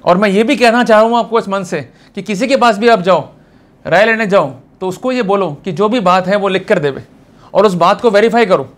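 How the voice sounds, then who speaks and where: A man with an Indian accent and a notably high voice teaches like a lawyer at the front of a class. He explains informally but with emphasis, putting questions straight to his listeners. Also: fairly quick. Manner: emphatic, addresses listeners directly with checking questions. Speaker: lawyer giving law lectures.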